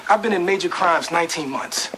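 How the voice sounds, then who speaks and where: A man's voice: fairly high pitch, fairly quick, background noise, close to an everyday voice. A man playing a cautious, argumentative prosecutor in his thirties, speaking emphatically in a crime drama. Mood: firm, defensive. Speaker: actor in a detective drama.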